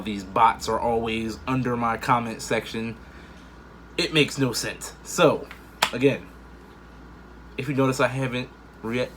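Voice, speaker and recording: A man's voice: on the low side, fairly bright tone, a little hiss or hum. A man hosting a reaction video, chatting casually and upbeat straight to his viewers with slangy turns of phrase. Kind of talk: casual direct-to-camera chat.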